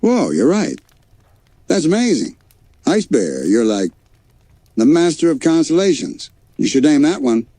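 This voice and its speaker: A man's voice: a little high, sing-song, a little slow, pauses often, dark tone, no echo, voice pushed up, exaggerated voice. A man voicing a goofy, boastful young male cartoon bear in a bedtime story, enthusiastic and slangy. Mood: amazed.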